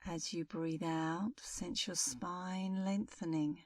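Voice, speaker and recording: A middle-aged woman leading a guided meditation as an instructor, speaking in a calm, soothing, unhurried way with long pauses. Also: fairly low pitch, dry recording, far from the mic.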